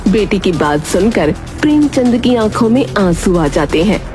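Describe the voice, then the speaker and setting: A woman narrating a scripted moral story in a steady, explaining way. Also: medium pitch, moderate pace. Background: background music.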